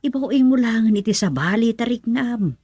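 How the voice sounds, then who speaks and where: A protective Filipino mother in a radio drama. Her voice is husky, anxious and cautioning, with a resigned air. The sound is dry and close. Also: a little high, expressive, moderate pace, dark tone, no echo. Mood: worried, cautioning. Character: worried, protective mother of a young man, resigned.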